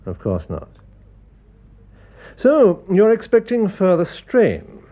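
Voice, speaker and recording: An actor playing an eminent English physician in a radio drama, formal and authoritative, with a Received Pronunciation accent. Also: male voice, high-pitched, wildly swooping pitch, dull audio, exaggerated voice. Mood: measured, probing. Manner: measured, formal, authoritative bedside manner. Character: eminent Harley Street physician, smooth, measured, authoritative man. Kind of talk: classic radio drama acting.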